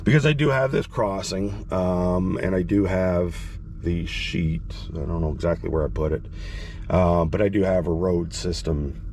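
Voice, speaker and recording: A man's voice: low voice, measured pace. A man casually walking through his model railroad in the sleeper bunk of his semi truck, rambling with plenty of fillers, his voice a bit crackly from recent illness. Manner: rambling, relaxed, lots of fillers and restarts. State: slightly crackly voice, recovering from illness. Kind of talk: casual walkthrough vlog.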